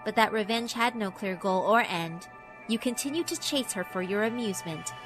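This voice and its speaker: A woman's voice: medium pitch, normal speed, over music, lightly acted. A woman voicing a sinister, cruelly amused female school spirit in a horror visual novel, theatrical and mock-polite. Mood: gleeful, taunting. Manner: theatrical, mock-polite, taunting rhetorical questions.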